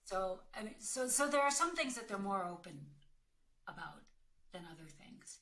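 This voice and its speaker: A woman's voice: medium pitch, expressive, normal speed, fairly bright tone. A woman working as an actor and career coach, giving direct, emphatic advice in a conversational way. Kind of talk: conversational advice in a question-and-answer call.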